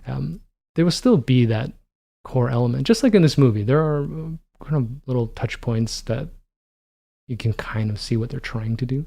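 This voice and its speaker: A man's voice: medium pitch, animated, moderate pace, vocal fry, warm tone. A man in his forties, co-hosting a podcast, chatting casually about films and thinking aloud with lots of fillers. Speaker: podcast co-host. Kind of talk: casual film-discussion chat.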